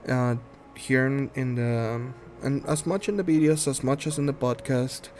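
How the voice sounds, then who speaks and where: A man in his thirties from Guatemala, speaking English with a Guatemalan Spanish accent, introducing himself in a shy, halting way full of ums and restarts. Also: mid-range voice, a little hiss or hum. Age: 30s. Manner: shy, soft-spoken, earnest. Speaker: gaming channel host. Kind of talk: casual self-introduction while playing a game.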